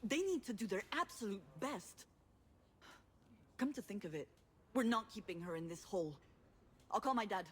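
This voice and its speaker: A woman's voice: medium pitch, animated, moderate pace, long pauses, breathy edge. An actress playing a headstrong, combative and loyal adult woman, speaking bluntly and insistently in a naturalistic video game drama. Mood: urgent, insistent.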